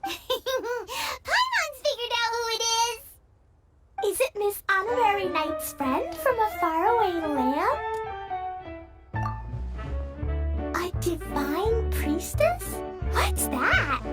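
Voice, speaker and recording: A woman voicing a tiny, excitable, cheeky childlike fairy companion in an anime game, with a squeaky voice. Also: slow, over loud music, full character voice. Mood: excited. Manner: excitable, exclamatory, refers to self by name.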